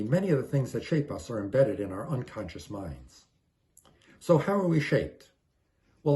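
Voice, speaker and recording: An older man delivers a prepared lecture as the teacher of a series. His voice is mellow and relaxed, at an ordinary male pitch. He explains things plainly and in order, with a measured, didactic manner.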